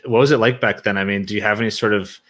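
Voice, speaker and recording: A man's voice: fairly low pitch, steady tone, rapid-fire, fairly bright tone, slight background noise. A man from the United States hosting an interview show, asking long, rambling, friendly questions. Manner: rambling, many fillers and restarts, friendly. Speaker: podcast host. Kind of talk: casual interview conversation.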